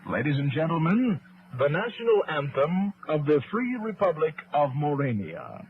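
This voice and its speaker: A man's voice: fairly high pitch, big pitch swings, moderate pace, noisy background. A man giving a solemn, proclamatory station announcement in a formal radio style. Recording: dull lo-fi shortwave radio recording, music behind. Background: music.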